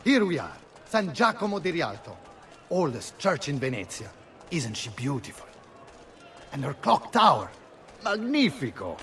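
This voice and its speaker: A man's voice: on the high side, very animated, measured pace, pauses often, a bit bright, slight background noise, exaggerated voice. A man playing a proud Venetian tour guide in a video game, with an Italian accent and a theatrical, effusive manner. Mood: proud, gushing. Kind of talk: video game character acting.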